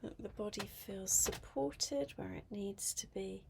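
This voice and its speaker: A woman guiding a mindfulness meditation in a soft, breathy, half-whispered voice, gently and with long pauses. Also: medium pitch, noisy background. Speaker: meditation guide.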